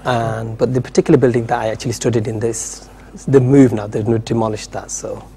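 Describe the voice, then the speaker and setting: A man's voice: mid-range voice, flat delivery, a little fast, vocal fry, warm tone. A young man being interviewed as a singer on a TV studio set, speaking modestly and chatting easily into a handheld mic. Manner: modest, chatty, laughs off questions. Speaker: nasheed singer guest.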